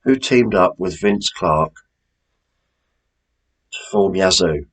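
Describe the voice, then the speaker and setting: A man's voice: sits low, expressive, slow pace, husky. A British man presenting a quiz in a chatty, informal way, as the host reading out questions.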